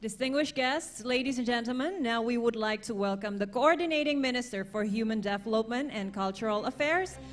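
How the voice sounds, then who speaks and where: A woman's voice: on the high side, brisk, few pauses. A woman as master of ceremonies, introducing a guest in a polished, formal way. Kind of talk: formal announcing. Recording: voice over background music.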